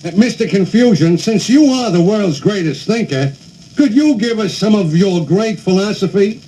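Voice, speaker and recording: A man voicing a bossy, blustering male caveman in a cartoon, loud and commanding. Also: high voice, lively intonation, moderate pace, low-quality recording.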